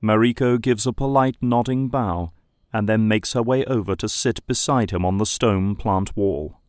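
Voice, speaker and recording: A man's voice: on the low side, normal speed, a little mellow, dry recording, clean studio sound. A man reading scripted narration for an audio drama.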